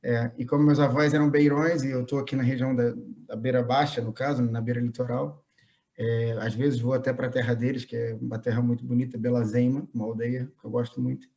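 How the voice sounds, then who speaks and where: A man's voice: medium pitch, level tone, a little fast, vocal fry, mellow. This is a man with a Carioca Brazilian accent and a mid-range voice. He talks casually over a video call, in an easygoing, storytelling way, with frequent fillers and restarts. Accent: Carioca Brazilian Portuguese. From Rio de Janeiro, Brazil. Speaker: guest, historian. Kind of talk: casual conversation.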